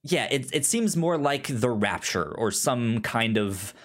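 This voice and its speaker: A man hosts a relaxed movie podcast in a mid-pitched voice that swings up and down expressively. His delivery is conversational and halting, with words often doubled as he finds his sentence. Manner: halting, with stuttered word repeats. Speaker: podcast co-host. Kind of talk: casual film podcast chat.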